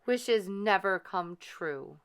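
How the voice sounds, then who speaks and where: A woman voicing a sweet, earnest young storybook heroine with a plaintive, drawn-out delivery. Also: mid-range voice, animated, smooth. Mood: wistful.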